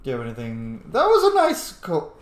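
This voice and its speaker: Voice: mid-range voice, wildly swooping pitch, a little fast. A game streamer of unclear sex giving casual, opinionated commentary while playing, chatty and informal. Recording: echoey room sound.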